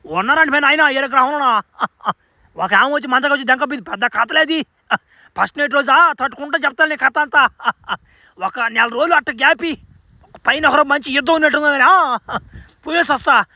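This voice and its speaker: Man voicing a very high-pitched brash cartoon lad. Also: fairly quick, bright, forceful tone, no echo, heavily acted. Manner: colloquial, crude, exclamatory. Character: brash, foul-mouthed young superhero son, easily baffled. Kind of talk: amateur cartoon dub.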